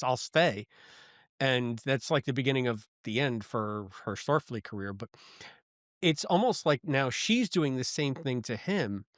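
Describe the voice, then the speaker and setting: A man's voice: medium pitch, no echo, studio quality. A man co-hosting a podcast, talking through a review in a rambling, casual way with stammered restarts. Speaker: podcast co-host.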